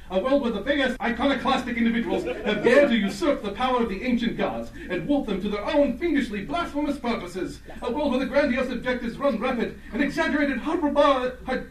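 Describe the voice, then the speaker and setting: A man's voice: very high voice, fairly quick, hardly any pauses. A man playing a bombastic, hammy announcer of a melodramatic radio serial. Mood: grandiose. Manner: grandiose, hammy delivery. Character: bombastic over-the-top male serial announcer. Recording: echoey hall, distant mic, audience noise.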